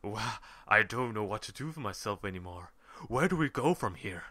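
A man voicing an adoring, breathless member of an audience in a fan story, slow and hushed. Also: fairly low pitch, expressive, no echo, studio quality. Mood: eager, adoring.

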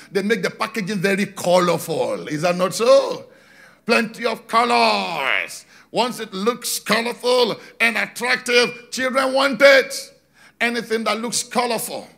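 A middle-aged man preaching on a large church stage into a handheld microphone, animated, slowing down for emphasis and shouting key points. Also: very high voice, creaky. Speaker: pastor. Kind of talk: sermon. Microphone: handheld mic.

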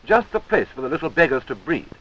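A man's voice: a little high, very animated, quick pace, dull audio. A British man narrating a wartime-era army training film in a wry, chummy manner, on a 1940s film soundtrack.

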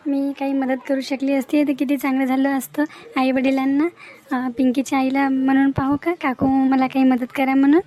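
A woman's voice: high voice, little pitch movement, moderate pace, dark tone, natural voice. A woman voicing a selfless, sensible young daughter of a poor family in a moral-story cartoon, earnest and pleading, with a Varhadi Marathi accent. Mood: hopeful musing.

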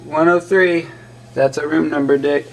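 A man's voice: a little high, steady tone, a little slow, creaky, poor audio. Upbeat young man filming himself. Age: young adult. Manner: upbeat, joking tour-guide talk. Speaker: vlog host. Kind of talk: casual vlog narration. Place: van, parking lot, hotel. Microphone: handheld camera close to face.

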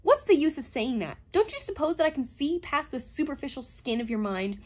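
Woman voicing a frantic, shrill robot in anguish. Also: very animated, a little fast. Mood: wild despair. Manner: pleading, shrieking, protesting. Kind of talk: dramatized audiobook reading.

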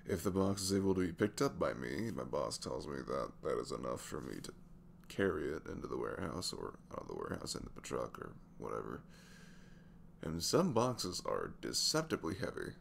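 A man playing a tired, sweet, easygoing working single dad in a soft-spoken, hesitant, rambling ASMR roleplay chat. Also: a bass voice, big pitch swings, a little fast, creaky, slight background noise, full character voice. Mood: casual, amused.

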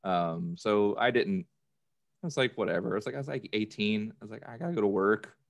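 Gravelly, low-voiced man chatting. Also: a little fast. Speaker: podcast guest. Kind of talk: casual chat. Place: plain indoor room, video call. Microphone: desk microphone with headphones on.

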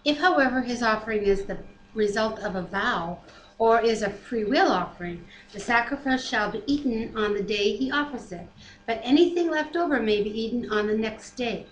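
Middle-aged woman, slightly high voice, scripture reader with informal asides; echoey living-room webcam sound. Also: expressive, normal speed. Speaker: Bible reader and discussion co-host. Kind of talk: reading scripture aloud with casual commentary. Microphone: computer webcam mic at desk distance. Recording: roomy, echoey home webcam, faint background noise.

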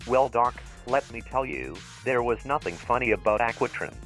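A male text-to-speech voice as a troubled robot patient in a game story. It is plaintive and medium in pitch, over faint music. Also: lively intonation, moderate pace, a bit bright, lightly acted. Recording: faint music behind synthetic voice.

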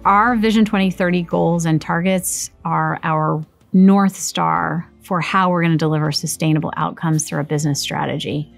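Gravelly-voiced woman executive. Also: deep voice, fairly even intonation, normal speed, hardly any pauses, faint music behind. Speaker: chief sustainability officer. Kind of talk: corporate interview.

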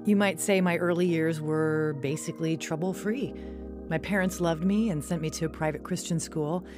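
A woman's voice: low-pitched, fairly even intonation, moderate pace, loud music behind the voice, lightly acted. An actress playing a teenage girl who tells her own story in a radio drama. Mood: reflective, narrating. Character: anxious, thrill-struck teenage girl telling her story. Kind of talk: radio drama acting and narration.

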